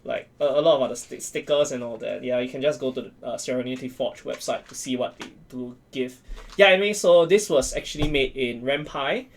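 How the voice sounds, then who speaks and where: Man chatting in halting, explanatory phrases. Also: mid-range voice, slight background noise. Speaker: guest on a talk show. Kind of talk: casual chat, explaining.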